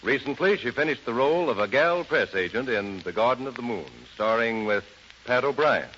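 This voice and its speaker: A man's voice: mid-range voice, expressive, normal speed, fairly bright tone. An American man hosting a 1930s radio show in a formal, courtly announcing style. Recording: dull, noisy 1930s radio recording.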